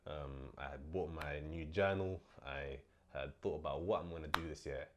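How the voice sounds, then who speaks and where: A young man hosting his own vlog, talking casually and a bit low on energy as he recovers from illness, with lots of fillers. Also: moderate pace, noisy background. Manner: relaxed, chatty, many fillers. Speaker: host. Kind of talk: casual vlog talk to camera.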